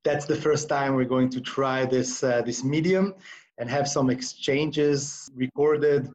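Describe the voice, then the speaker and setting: A man hosting a podcast, with a voice of middling pitch. He opens the show in a scripted way, then asks his guest questions hesitantly, full of ums and restarts. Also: fairly even intonation, takes it slowly, darkish tone. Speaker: podcast host. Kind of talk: interview hosting.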